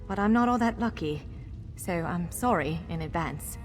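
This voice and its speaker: A woman playing a spirited warrior guide in a video game, brisk and encouraging but candidly wary. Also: lowish voice, animated, lightly acted. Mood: wary, explanatory. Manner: brisk, encouraging, urgent in combat. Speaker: game companion and tutorial guide. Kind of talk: scripted video game dialogue. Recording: long hall reverb, clear music behind. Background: game music.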